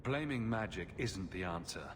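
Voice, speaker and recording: A flippant, confident fantasy game champion. He talks directly in a mid-pitched voice with animated swings of intonation. There is clear noise behind him. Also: moderate pace. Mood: earnest. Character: wry male hero champion, confident, flippant. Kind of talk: video game cutscene dialogue.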